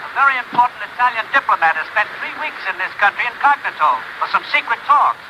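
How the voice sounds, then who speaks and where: An actor playing an authoritative intelligence commissioner in a 1950s radio adventure, with an urgent, official manner. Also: male voice, sits high, expressive, quick pace. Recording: old radio recording with faint noise.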